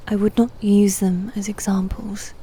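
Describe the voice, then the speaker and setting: A guarded female demon knight, acted by a woman for an ASMR roleplay, speaks in a soft, mellow voice that often drops toward a whisper. The delivery is slow and hesitant, with stiff, formal phrasing and a reserved temper. A faint ambience sits behind the voice. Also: medium pitch, little pitch movement, slight background noise, close to an everyday voice, poor audio. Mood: reluctant, grave.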